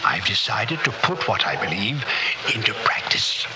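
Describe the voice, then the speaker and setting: Obsessive male teacher, halting, repetitive, fervent, highly animated pitch, theatrical 1960s radio drama, noisy vintage recording. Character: obsessive, absent-minded male teacher with grandiose mind-power theory.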